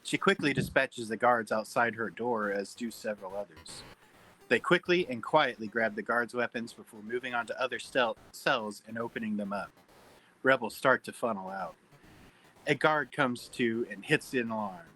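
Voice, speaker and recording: A man reads scripted stage directions as narrator. His mid-pitched voice is forward and bright, with a noticeably raspy, husky grain. It is the narration of a table read. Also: moderate pace, low-quality recording.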